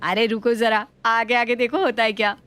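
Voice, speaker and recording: Woman acting high-voiced cheeky young daughter-in-law. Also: little pitch movement, normal speed, fairly bright tone, clean tone, natural voice. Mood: scheming. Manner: explanatory, mock-polite. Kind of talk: Hindi animated moral story acting.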